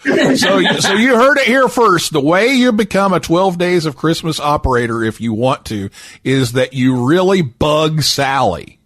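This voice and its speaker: Slow-talking male host, dry sound. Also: fairly high pitch, animated, a bit bright, no room sound. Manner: hesitant, many fillers, joking. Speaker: show host. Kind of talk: casual interview.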